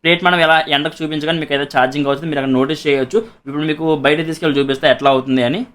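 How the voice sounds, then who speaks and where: Telugu guy explaining a gadget step by step, repeats himself, drops english words in, super quick, voice a bit high. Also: fairly even intonation, fairly bright tone. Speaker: product reviewer and seller. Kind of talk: casual product demonstration to camera.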